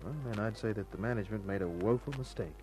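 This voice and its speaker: A man's voice: on the low side, fast, darkish tone, close to an everyday voice, low-quality recording. Man acting wry hard-boiled radio private eye. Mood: smooth, probing. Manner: wry, dry, smooth-talking. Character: cocky wisecracking male private detective, narrating his own case. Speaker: actor narrating and playing the lead. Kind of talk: hard-boiled old-time radio detective drama. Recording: old radio recording with music.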